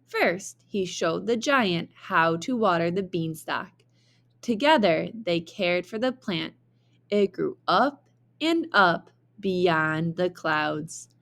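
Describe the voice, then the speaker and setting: A woman narrates a children's storybook in the manner of a teacher. Her voice is slightly low, and she reads very slowly and deliberately, with expressive emphasis. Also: gravelly. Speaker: teacher reading aloud.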